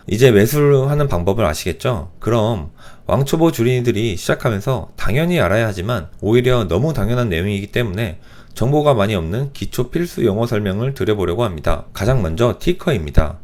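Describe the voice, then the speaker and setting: A man with a hoarse voice from a cold, explaining beginner investing terms step by step in a tutorial voice-over. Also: lowish voice, a little mellow. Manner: clear explanatory, addresses beginners. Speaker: finance channel host.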